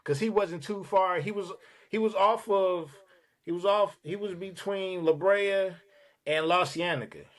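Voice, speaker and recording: Man telling street stories, slightly high voice. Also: a little slow. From Los Angeles. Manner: animated, streetwise, profane anecdote-telling. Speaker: podcast guest. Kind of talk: casual storytelling.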